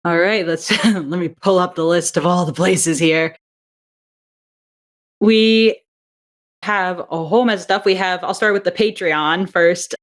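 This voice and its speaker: A woman's voice: fairly low pitch, unhurried, long pauses. A young adult woman, a guest on an interview over a video call, talking enthusiastically about her audio drama and thinking aloud with frequent restarts. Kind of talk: casual interview chat.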